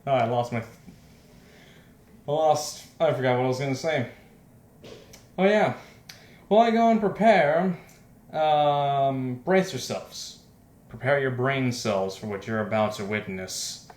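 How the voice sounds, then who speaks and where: A man giving casual let's play commentary as host, self-deprecating and exasperated, often breaking into laughter. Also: medium pitch. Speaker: game streamer, host. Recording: roomy echo, faint music behind.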